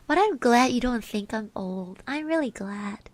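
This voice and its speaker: A young woman voicing a shy, kind eighteen-year-old girl classmate in an ASMR roleplay, soft and gentle, hesitant and reassuring, close to the mic. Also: on the high side, expressive, takes it slowly, dry recording. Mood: bashful, pleased. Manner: hesitant, bashful, stammering, reassuring. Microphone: close microphone.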